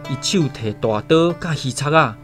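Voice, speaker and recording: A man's voice: fairly high pitch, expressive, normal speed, lightly acted. An actor playing a plainspoken, thoughtful male islander who recounts his story in a radio drama, with a Taiwanese accent. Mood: admiring, tense. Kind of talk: first-person radio drama narration. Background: faint guitar music.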